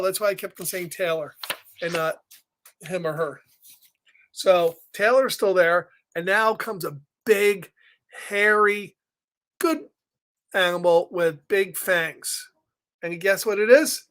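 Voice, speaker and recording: A man's voice: sits high, a bit bright. A man hosting a live picture-book reading for children, chatty and exclamatory, often breaking off to ask listeners questions. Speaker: children's story reader and host. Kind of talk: live picture-book read-aloud with casual asides.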